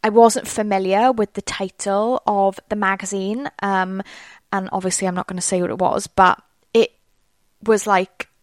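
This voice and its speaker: A woman's voice: mid-range voice, fairly even intonation, normal speed, no echo, studio quality. A young Welsh woman hosting a solo podcast, chatting in a rambling way full of fillers. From Wales. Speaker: podcast host. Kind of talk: casual solo podcast monologue.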